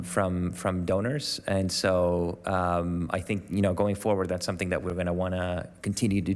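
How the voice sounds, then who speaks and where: Low-voiced man in a reverberant hall. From Venezuela. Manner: hesitant, many fillers and restarts. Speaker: panel guest, media organisation staff. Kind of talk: panel discussion answers.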